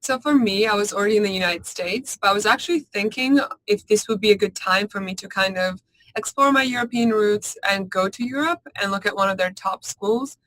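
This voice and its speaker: A woman from Georgia answering on a student panel in a lively, casual way. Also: medium pitch, fairly quick, dry recording, poor audio. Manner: lively, laughs easily.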